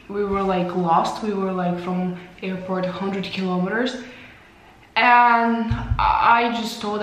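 A woman's voice: mid-range voice, a little hiss or hum. A young woman, not a native English speaker, chatting casually to a handheld camera in a vlog, laughing often and losing her voice. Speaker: vlogger. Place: tiled hotel bathroom. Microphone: handheld camera at arm's length. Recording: echoey tiled room.

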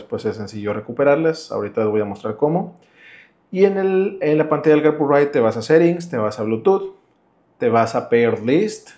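Mexican guy, mid-pitched voice, walking you through setup steps casually like a vlogger. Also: darkish tone. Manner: instructional, addresses viewer directly. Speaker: motorcycle vlogger and presenter. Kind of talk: casual step-by-step tutorial.

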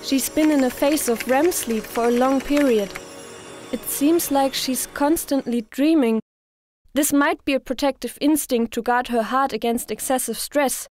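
A woman voicing a composed, professional nurse, explaining things in a measured, clinical manner in anime style. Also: high-pitched, darkish tone. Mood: calm, clinical. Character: composed, professional female Pokemon Center nurse.

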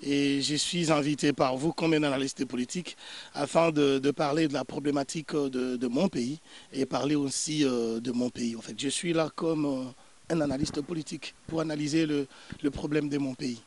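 An earnest man in his thirties, from Congo, speaks as a guest analyst in a sunny garden, answering into a handheld microphone held close. His voice sits a little higher than most men's, and he stumbles through repeated words while he gathers his thoughts. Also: fairly even intonation, normal speed. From Democratic Republic of the Congo. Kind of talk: interview answers. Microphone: handheld mic held by interviewer.

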